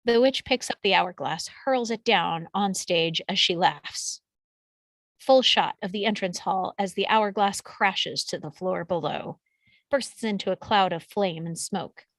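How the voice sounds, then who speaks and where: A woman's voice: mid-range voice, a little slow, no echo. A woman reading out screenplay action descriptions as scripted narration. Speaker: stage directions reader.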